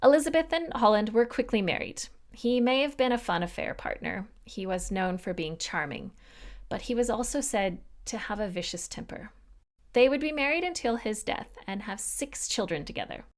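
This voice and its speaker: A woman's voice: medium pitch, animated, moderate pace. A woman hosting a podcast, reading a scripted history narration with wry, joking asides to the listener. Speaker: podcast host.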